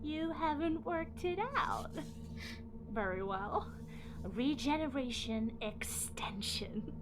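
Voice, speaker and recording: High-voiced, slow, gloating villainess. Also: female voice, lively intonation, noisy background. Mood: grandiose. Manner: mocking, melodramatic, drawn-out taunting delivery. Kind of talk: sci-fi fan audio drama acting.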